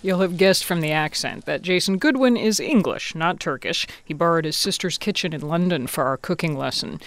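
A woman radio reporter narrating a scripted feature in a crisp, wry way. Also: lowish voice, expressive, a little fast, no echo.

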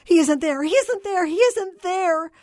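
A woman's voice: very high voice, lively intonation, unhurried, darkish tone, no echo, studio quality, voice pushed up. A woman voicing a hysterical wife who sobs, wails and repeats herself as she begs for news of her hurt husband. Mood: sobbing despair. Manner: wailing, sobbing, repeats phrases three times.

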